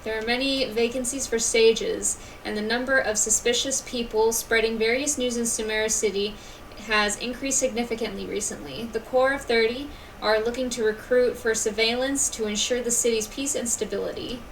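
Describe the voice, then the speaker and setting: A woman's voice: on the high side, fairly even intonation, normal speed. A woman streamer giving casual live game commentary and reading text aloud. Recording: distant mic with background noise.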